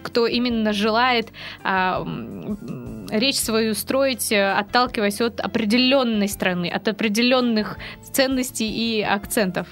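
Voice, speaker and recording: A woman hosting a podcast, asking her guest questions in a halting way, with pauses and filler sounds. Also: on the high side, animated, a bit bright, soft background music. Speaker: podcast host. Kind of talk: interviewing a guest.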